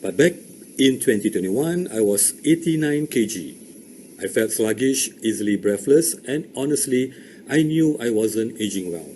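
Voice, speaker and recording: A man's voice: medium pitch, lively intonation, normal speed, a little mellow. Man in his fifties, sincere testimonial, light hiss behind. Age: 50s. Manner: earnest, reflective testimonial. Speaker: customer giving a testimonial.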